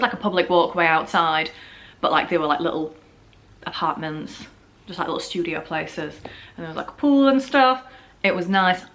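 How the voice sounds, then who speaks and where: A woman's voice: on the low side, fairly bright tone. Chatty woman, sore throat. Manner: chatty, jokey, full of filler "like"s. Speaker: vlogger. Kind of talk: casual storytime chat.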